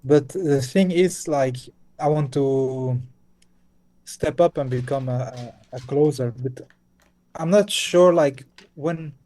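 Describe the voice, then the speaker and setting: Belgian guy, dutch accent, mellow voice, stumbles w/ lots of uh and you know. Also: medium pitch. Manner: hesitant, many "uh" and "you know?", restarts. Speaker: caller on a group call. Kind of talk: asking a question on a video call. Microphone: video call.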